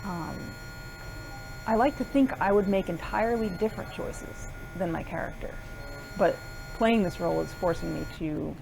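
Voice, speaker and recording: A woman's voice: on the low side, moderate pace, creaky, background noise. An American woman, a stage actor, talking in a cast interview. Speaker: musical theatre actor.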